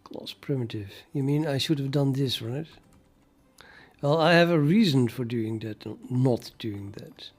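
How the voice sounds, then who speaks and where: An older man from the Netherlands with a Dutch accent, talking casually and haltingly to his livestream chat while he codes. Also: mid-range voice, a little mellow, husky. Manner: musing, hesitant, halting with many fillers, chuckles to himself. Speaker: programming livestreamer.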